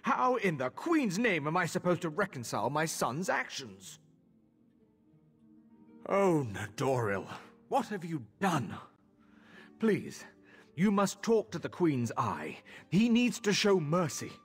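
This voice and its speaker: A distraught elven official and father in a fantasy game. His husky voice speaks slowly and formally, with dramatic rises and falls. It rings with a long hall reverb. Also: on the high side, full character voice. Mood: pleading. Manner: slow, formal, emotional. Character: anguished elf official, a pleading father. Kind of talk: video game character acting.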